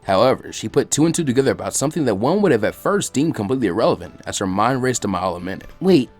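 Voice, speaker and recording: A man reading fan fiction aloud as an expressive scripted story narration. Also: on the low side, very fast. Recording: faint music behind the voice. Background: faint background music.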